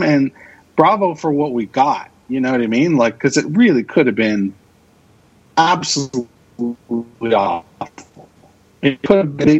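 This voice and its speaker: A man's voice: on the high side, breathy edge, lo-fi sound. A man chatting casually and with opinions as a podcast guest, speaking with enthusiasm and emphatic repetition. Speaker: podcast guest, radio drama producer.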